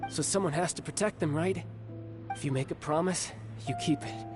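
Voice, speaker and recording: A cocky young warrior from a game, voiced by a man, smooth and teasing, with a slightly high voice. He sounds worn out from his injuries but keeps his proud, playful edge. Game music runs behind him. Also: normal speed, lightly acted. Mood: wry. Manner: smooth, teasing, self-assured. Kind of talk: video game voice acting.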